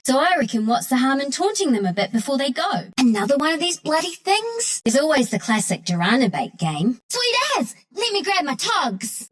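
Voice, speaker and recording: A woman's voice: mid-range voice, close to an everyday voice. A woman voicing a scrappy, mischievous young female forest critter in a video game, with a New Zealand accent and a cheeky, cocky, slangy way of talking. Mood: playful, sly, then irritated. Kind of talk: video game character voice lines.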